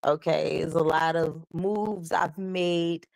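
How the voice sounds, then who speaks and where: A woman hosting a live talk show, chatting upbeat with her viewers while her voice is still slightly hoarse. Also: low voice, fairly even intonation, takes it slowly, darkish tone, no room sound. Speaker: host. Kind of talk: casual live show chat.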